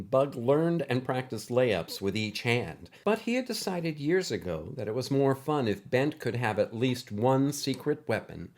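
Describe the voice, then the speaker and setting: A man reading a scripted story as narrator, with expressive, deliberate phrasing. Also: medium pitch.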